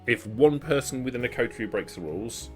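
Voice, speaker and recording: A man's voice: mid-range voice, animated, fairly quick, darkish tone, soft background music. A man acting as game master, explaining rules and lore in a tabletop roleplaying game.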